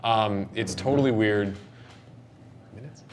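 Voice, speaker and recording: Emphatic young man hosting, lowish voice. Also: slow pace. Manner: emphatic, opinionated. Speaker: host of a video game discussion show. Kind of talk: casual panel discussion. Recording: noisy room recording, steady background noise.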